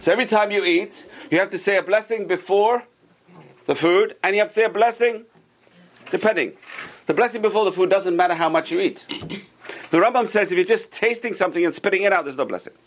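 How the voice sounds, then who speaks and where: A man leads a small class around a table, explaining rules slowly and repeating the key points so they sink in. His speaking voice sits a bit higher than most men's. He keeps checking in with his students by asking them questions. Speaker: Torah teacher. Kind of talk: informal class lecture with group discussion.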